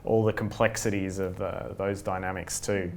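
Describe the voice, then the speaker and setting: Male podcast host, low-pitched and measured in melody, analytical, faint room noise. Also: flat delivery, a little mellow. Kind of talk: analytical discussion. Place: indoor room around a wooden table. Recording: faint rumble and background noise.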